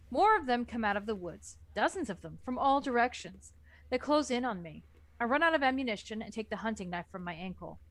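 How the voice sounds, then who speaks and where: A woman's voice: mid-range voice, fairly bright tone, no echo. A woman reading scripted first-person story narration as the narrator of an amateur book reading.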